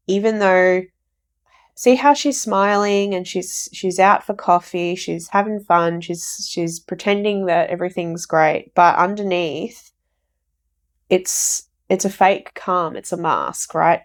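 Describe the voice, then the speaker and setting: Croaky woman reading tarot cards. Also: fairly low pitch. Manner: rambling, repeats herself with fillers. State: croaky from recovering flu. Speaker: tarot reader. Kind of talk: casual tarot reading to viewers.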